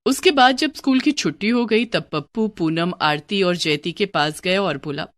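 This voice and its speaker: A woman's voice: on the low side, lively intonation, normal speed, no echo, studio quality. A woman narrating a scripted children's story.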